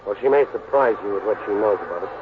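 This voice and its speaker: An actor in a 1940s radio drama playing a wry, sardonic lawyer who also narrates the case. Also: male voice, lowish voice, quick pace, slight background noise, close to an everyday voice. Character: wisecracking male lawyer-detective. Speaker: narrator and lead. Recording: tinny old radio broadcast.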